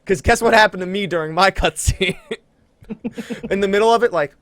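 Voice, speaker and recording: A man hosting a casual gaming podcast, chatting in an animated, teasing way with a co-host. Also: high-pitched, moderate pace, dry recording.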